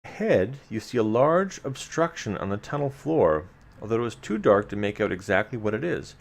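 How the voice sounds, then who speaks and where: A man with a fairly low voice and a heavy creak reads a fantasy gamebook to his stream. He moves between storyteller narration and offhand remarks to viewers, with lively rises and drops in pitch. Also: normal speed. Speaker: live-streamer reading a gamebook aloud. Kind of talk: live gamebook read-aloud with casual asides to viewers.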